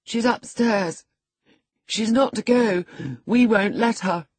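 A woman reader acts a spoiled, sickly young man in a storybook, sulky and self-pitying. The voice is raised and breathy-rough, whining with petulant insistence, recorded dry. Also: on the high side, slow pace, no echo, pitched above the performer's own voice.

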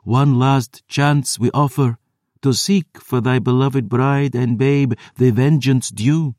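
A man's voice: mid-range voice, steady tone, slow, a little mellow, dry recording, clean studio sound, pitched above the performer's own voice, natural voice. A man voicing a pair of ancient female vampire sisters who speak in haughty, archaic words. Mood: menacing.

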